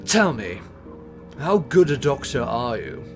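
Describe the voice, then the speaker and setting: A man's voice: medium pitch, very animated, takes it slowly, over music. A man playing a haughty, dismissive army officer in a First World War audio drama. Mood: scornful. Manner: pompous, theatrical. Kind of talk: period audio drama acting.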